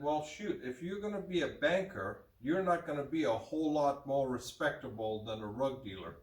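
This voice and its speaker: A middle-aged man with a slight German accent talks plainly and frankly, pitched a little high for a man. He is heard from a distance across a roomy, echoey indoor space. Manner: frank, plain-spoken, with fillers. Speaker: rug dealer. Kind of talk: casual talk to camera. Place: room with hanging curtain, indoors. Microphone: far camera. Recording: roomy, distant camera sound.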